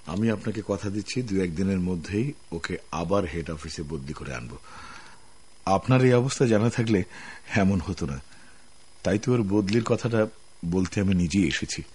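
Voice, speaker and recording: Deep, husky, earnest office manager. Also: male voice, animated, normal speed, long pauses, a little mellow. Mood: reassuring, concerned. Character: earnest, polite, principled male office manager. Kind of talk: radio drama acting.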